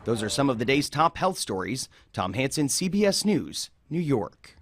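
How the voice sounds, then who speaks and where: A man's voice: mid-range voice, very animated, normal speed, a bit bright, no echo. An American man reading a scripted news report as a correspondent.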